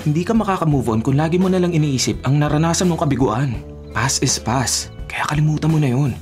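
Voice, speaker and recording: Woman voicing an animated, smooth-talking Filipino suitor. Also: sits low, fairly quick, faint music behind. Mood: earnest, encouraging.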